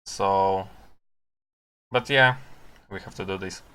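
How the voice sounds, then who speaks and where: A man who is a non-native English speaker gives unscripted live coding commentary, thinking aloud haltingly with long pauses. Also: low-pitched, bright, forceful tone, clean tone. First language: not English. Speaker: programmer live-streaming his coding.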